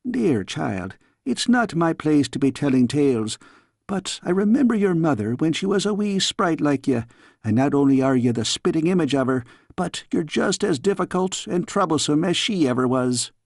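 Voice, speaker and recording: A man's voice: on the high side, very animated, normal speed, creaky, no echo, clean studio sound, pitched above the performer's own voice, full character voice. A man voicing a kindly older Scottish maid in a storybook, chiding fondly in homely dialect. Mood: fond reproach. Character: kindly scolding older Scottish maid woman, folksy and affectionate. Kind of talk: storybook character voice.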